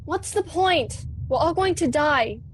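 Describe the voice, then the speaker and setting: A woman's voice: high-pitched, animated, a little slow, a little hiss or hum. A young woman playing a sullen, insecure and defiant teenage girl pilot in a sci-fi radio play. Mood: despondent, sullen. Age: young adult.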